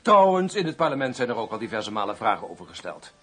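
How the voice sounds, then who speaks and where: An actor playing a pompous chief prosecutor in a radio drama, husky, formal and insistently interrogating. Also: male voice, mid-range voice, big pitch swings, quick pace, pushed and bright. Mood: disapproving. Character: pompous, accusing male chief public prosecutor. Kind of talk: Dutch radio drama acting.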